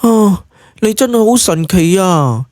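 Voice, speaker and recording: Man putting on a high-voiced, earnest young Cantonese woman. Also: lively intonation, slow pace, darkish tone, no echo, clean studio sound. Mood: amazed. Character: young woman, earnest, curious, gently reassuring.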